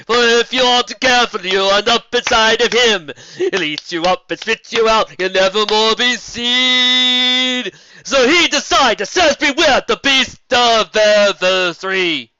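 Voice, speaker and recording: A man voicing a mysterious female zebra bard who sings her warnings in slow, drawn-out rhyme, on a dull lo-fi recording. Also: very high voice, sharp tone, no room sound, voice pushed up, heavily acted. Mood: ominous singing. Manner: sung, rhyming and slow.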